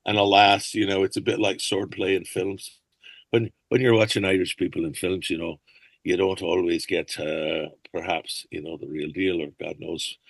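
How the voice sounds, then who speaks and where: An older man with a low, gravelly voice rambles through anecdotes as a podcast guest. The sound is close and dry, with no room around it. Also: level tone, moderate pace, dry recording. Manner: rambling storyteller, slips into accent impressions. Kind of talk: casual interview chat.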